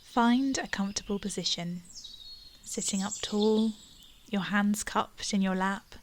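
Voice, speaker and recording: A woman guiding a gratitude meditation in a slow, soft, soothing voice that drops to a breathy near-whisper at times. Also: mid-range voice, a little hiss or hum. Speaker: meditation guide. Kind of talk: guided meditation.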